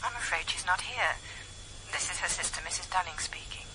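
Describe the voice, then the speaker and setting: A woman's voice: fairly low pitch, a little fast. A woman playing a guarded, polite sister through a telephone filter in a radio drama. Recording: noisy phone line, vintage radio tape.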